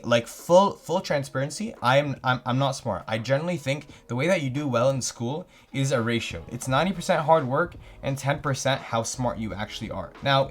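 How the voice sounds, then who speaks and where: Fast-talking young Canadian man. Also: mid-range voice. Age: 20s. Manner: eager, upbeat, reads each question aloud then answers at length. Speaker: YouTuber answering viewer questions. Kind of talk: casual Q&A vlog talking to camera.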